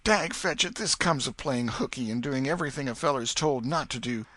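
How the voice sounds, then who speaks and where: A man voicing a scared, superstitious young village boy who speaks in a rustic backwoods dialect, hushed and fearful. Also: on the low side, expressive, moderate pace, breathy edge, no echo, voice pushed up, full character voice. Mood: frightened.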